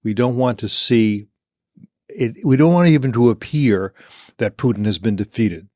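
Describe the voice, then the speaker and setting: An older man hosting a discussion, building an argument with stammering restarts and sudden mock quotations. His pitch sits mid-range, and the sound is dry and close. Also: moderate pace, warm tone, dry recording. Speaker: host. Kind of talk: policy discussion.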